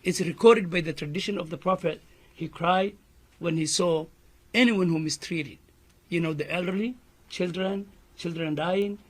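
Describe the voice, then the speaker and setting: A man's voice: high-pitched, moderate pace. A man from Somalia with a Somali accent, an imam giving an earnest press conference statement full of "you know" fillers.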